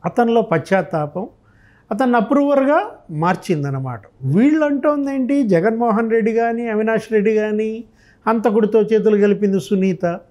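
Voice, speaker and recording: A man's voice: high-pitched, a little mellow. A man giving impassioned political commentary, emphatic and full of rhetorical questions. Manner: emphatic, rhetorical questioning, re-enacting others' remarks.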